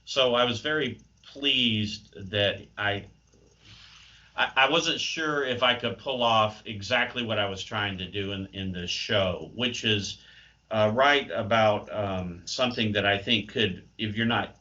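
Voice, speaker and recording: An American man giving unscripted interview answers as a guest, halting as he searches for words, over a muffled remote call. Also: fairly low pitch, sharp tone. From United States.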